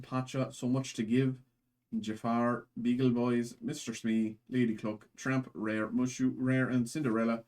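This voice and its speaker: A man's voice: medium pitch, a little mellow, rough recording. A man hosting a live card break in a put-on Irish accent, rattling off card names from each pack.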